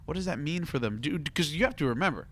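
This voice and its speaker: A man's voice: on the high side, expressive, fast, slight background noise. A man hosting a casual podcast chat, emphatic and given to repeating himself. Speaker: podcast host.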